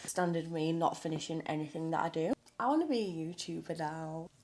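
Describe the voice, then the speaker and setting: Low-voiced woman with a nasal cold. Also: fairly quick, darkish tone. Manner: blunt, outspoken, swears casually. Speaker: makeup artist. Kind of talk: casual reaction vlog commentary.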